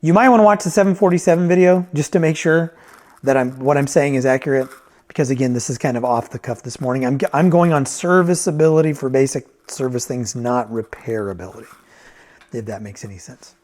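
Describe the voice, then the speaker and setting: A man's voice: mid-range voice, a little fast, darkish tone. A man giving a casual, off-the-cuff product review as a reviewer and repairer, conversational and thinking aloud as he goes.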